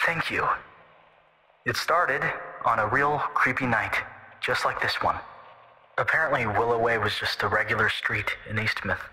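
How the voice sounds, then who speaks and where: A man's voice: medium pitch, pushed and bright. A man playing a terse, touchy young man telling a local ghost story. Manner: curt and gruff, then slow storytelling. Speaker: call-in guest storyteller. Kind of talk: fan audio drama acting. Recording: reverberant with music underneath.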